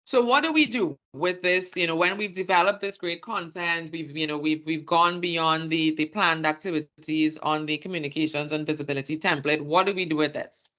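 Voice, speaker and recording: Trinidadian accent, workshop presenter, very bright tone, halting phrasing. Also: medium pitch, fairly even intonation. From Trinidad and Tobago. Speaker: communications presenter.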